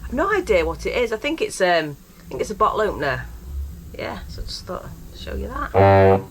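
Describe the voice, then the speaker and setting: A woman's voice: fairly low pitch, wildly swooping pitch, brisk, slight background noise. A woman vlogging about her life on a narrowboat, chatty and self-mocking, laughing often and sounding bunged up with a cold. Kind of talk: casual vlog chat. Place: aboard and around a narrowboat on a canal.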